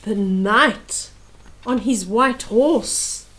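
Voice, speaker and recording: An older woman retelling a picture book to young children as a storyteller, in short expressive phrases that speak directly to the listener. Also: a little high, very slow.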